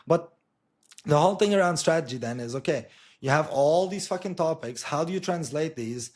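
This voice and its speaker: A man's voice: on the high side, expressive, normal speed, darkish tone. A man from Portugal gives an informal explainer in a blunt, emphatic, sweary way, often checking in with short tag questions. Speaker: marketing agency co-founder presenting.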